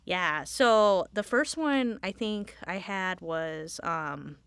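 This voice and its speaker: A woman speaking as a guest in a casual interview, informal and halting as she thinks aloud. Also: fairly low pitch, animated, fairly bright tone, clear, resonant tone, dry recording. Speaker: Foley artist, interview guest.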